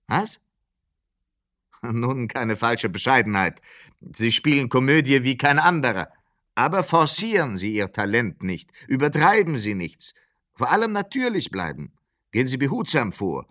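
An actor dubbing a police commissioner who shouts into a bad phone line, giving orders. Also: male voice, mid-range voice. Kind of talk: film dub.

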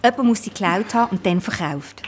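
An actress with a Swiss accent playing a stubborn, impulsive violinist in a naturalistic radio play, insistent in manner. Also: female voice, mid-range voice, expressive, brisk, slight background noise, lightly acted. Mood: determined.

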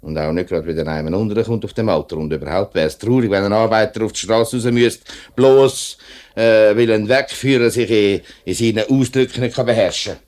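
A man's voice: fairly low pitch, brisk, darkish tone, close to an everyday voice. An actor playing a principled, plain-spoken workers' spokesman in a 1950s radio drama, earnest and firm, with a Swiss accent. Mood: indignant. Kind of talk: 1950s German-language radio drama acting.

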